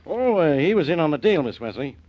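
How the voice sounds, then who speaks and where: An actor playing a blustery but sheepish police official in a 1940s radio drama. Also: male voice, a little high, big pitch swings, brisk. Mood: blustery, apologetic. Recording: dull, muffled vintage radio recording.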